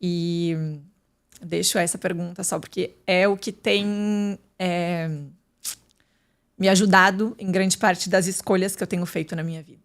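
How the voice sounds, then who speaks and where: A woman chats openly as a podcast guest. Her voice sits a little low for a woman, and she talks loosely, with plenty of fillers and restarts. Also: steady tone, measured pace. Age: 30s. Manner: candid, chatty, with many fillers and restarts. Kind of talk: casual interview chat.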